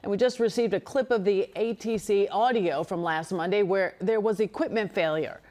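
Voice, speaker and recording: A woman's voice: medium pitch, normal speed, darkish tone. An American woman anchoring the news, reading scripted copy in a broadcast style.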